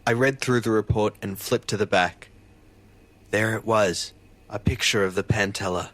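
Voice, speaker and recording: A man's voice: on the low side, moderate pace, a bit bright, close to an everyday voice. A man playing an earnest, bewildered fisheries officer who narrates his own story in an audio drama. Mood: tense, recounting.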